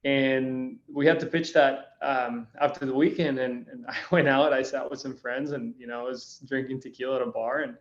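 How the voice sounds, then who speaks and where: A man's voice: mid-range voice, moderate pace, hardly any pauses. Young guy from costa rica, laid back storytelling, echoey room, muffled audio. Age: young adult. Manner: relaxed, conversational, uses "like" and "right". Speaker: guest, company founder. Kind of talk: casual interview storytelling.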